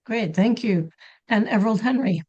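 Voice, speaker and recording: A woman chairing a town committee meeting on a video call, polite and brisk as she calls on applicants. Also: medium pitch, mellow, slightly rough, muffled recording. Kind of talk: running a public meeting.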